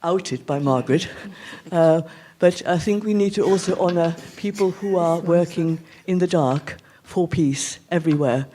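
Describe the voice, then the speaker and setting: A man giving a reflective, earnest speech at a community event, speaking haltingly in an indoor hall. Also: on the high side, warm tone. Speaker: guest speaker.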